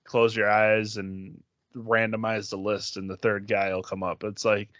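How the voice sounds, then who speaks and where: A man with a nasal voice co-hosting a casual sports podcast, animated and quick to laugh. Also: lowish voice, no room sound, muffled recording. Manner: animated storyteller, acts out quotes, laughs often. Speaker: podcast co-host.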